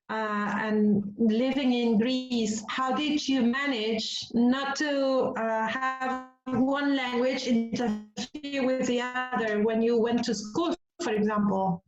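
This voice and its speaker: Woman co-host, high and unhurried, roomy muffled call with guitar playing behind. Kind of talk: interview question. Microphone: video call.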